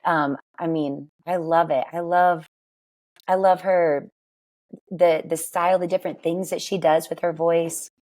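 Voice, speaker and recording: Raspy-voiced woman chatting easily. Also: fairly low pitch, unhurried. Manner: chatty, laughs often, lively storytelling. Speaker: guest, worship singer. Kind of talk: casual interview chat.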